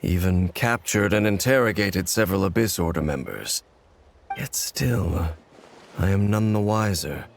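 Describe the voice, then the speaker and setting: An actor playing a brooding, coldly determined warrior in a video game, speaking in a measured, solemn, formal way. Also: male voice, low-pitched, creaky, a little hiss or hum. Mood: grave, suspicious. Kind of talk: English video game voice acting. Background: background music.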